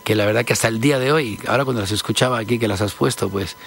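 Interview guest: man in his fifties from Spain, low gravelly voice, halting, repeats himself. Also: a bit bright. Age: 50s. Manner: hesitant, repeats words often. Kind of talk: casual interview talk.

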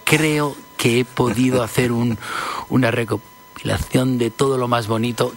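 A man's voice: mid-range voice, fairly even intonation, normal speed, a little hiss or hum. A man in his fifties from Spain, a singer giving an interview, talking casually and hesitantly, often repeating words. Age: 50s.

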